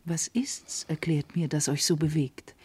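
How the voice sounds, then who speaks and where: A woman playing a sharp-tongued, scorned servant wife in a German classical verse radio drama, with emphatic theatrical delivery. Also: sits low, steady tone, measured pace, husky, exaggerated voice. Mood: hushed, dismayed. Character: sharp-tongued, scorned servant wife, scolding yet devoted to her mistress.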